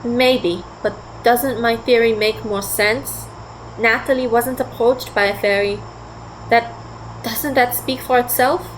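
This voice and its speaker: A woman's voice: fairly high pitch, steady tone, slow, slight background noise, low-quality recording. A woman playing a thoughtful, skeptical teenage girl in an audio drama, polite and full of reasoning. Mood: earnest. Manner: inquisitive, reasoning.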